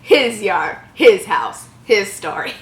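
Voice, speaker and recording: Animated woman in an echoey room. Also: mid-range voice, slow. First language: English. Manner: giggly, stumbles over words. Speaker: channel host. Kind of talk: reading a horror story aloud with joking asides.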